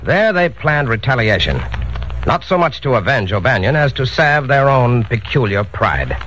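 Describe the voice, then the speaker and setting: A man's voice: mid-range voice, big pitch swings, moderate pace, noisy background. A man delivering dramatic scripted narration for a 1940s radio crime drama. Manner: dramatic, declamatory.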